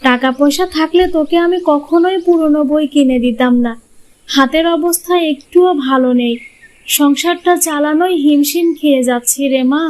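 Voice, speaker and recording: High-voiced weary widowed village mother played by a woman. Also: moderate pace, a little mellow, natural voice. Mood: weary, plaintive. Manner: plaintive, tender. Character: poor, weary widowed village mother, loving but doubtful. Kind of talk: Bengali moral-tale cartoon dubbing.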